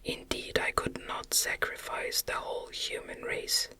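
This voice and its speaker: A woman voicing an anguished, guilt-ridden young man in an impassioned breathy whisper, in a storybook reading. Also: a little slow, bright, forceful tone. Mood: desperate insistence.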